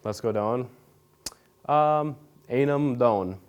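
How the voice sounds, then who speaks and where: A young man from Nebraska answering interview questions in a relaxed, rambling way, full of fillers and restarts. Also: medium pitch, slow, smooth. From Nebraska, USA. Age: young adult. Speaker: interview guest. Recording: radio studio interview.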